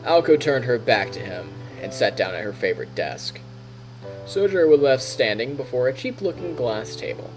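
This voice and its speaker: A man narrates a visual novel in an animated voice that moves up and down freely. He reads like a storyteller on a stream and drops in casual asides. Music plays behind him. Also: medium pitch, moderate pace, poor audio.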